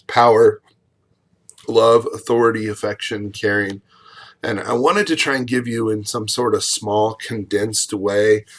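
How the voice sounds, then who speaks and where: Lower-pitched man giving a measured lecture. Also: fairly bright tone. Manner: measured, explanatory, with frequent fillers and restarts. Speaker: college speech instructor. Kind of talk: recorded video lecture.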